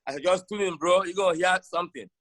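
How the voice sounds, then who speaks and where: Emphatic Nigerian man, Igbo accent, interviewee. Slightly high, forceful voice, opinionated delivery. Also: fast talker. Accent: Nigerian Igbo-language accent. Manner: emphatic, repeats short rhetorical questions. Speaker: interviewee, sports broadcaster.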